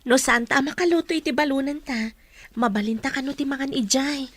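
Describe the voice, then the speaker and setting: Woman acting a high-pitched, fussy young student. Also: brisk, no room sound, natural voice. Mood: fussy, practical. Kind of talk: Ilocano radio drama acting.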